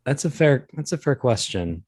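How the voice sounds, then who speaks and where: A man in his early thirties telling anecdotes as an interview guest, in a halting, wry, self-deprecating way. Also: medium pitch, sing-song, a little mellow, husky, no room sound, clean studio sound. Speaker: guest, voice actor and comedian. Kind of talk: casual interview, anecdotal storytelling.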